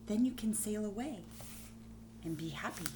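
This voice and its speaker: A woman plays a motherly storybook tree that gives everything it has. The voice is raised above everyday talk and coaxes tenderly. There is steady noise behind it. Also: medium pitch, moderate pace, pitched above the performer's own voice, natural voice. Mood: eager, loving. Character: gentle, loving, self-giving female tree.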